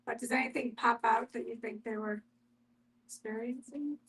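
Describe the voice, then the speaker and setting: High-voiced woman leading a group discussion. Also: normal speed, a bit bright, slight background noise, low-quality recording. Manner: questioning, inviting responses. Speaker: discussion facilitator.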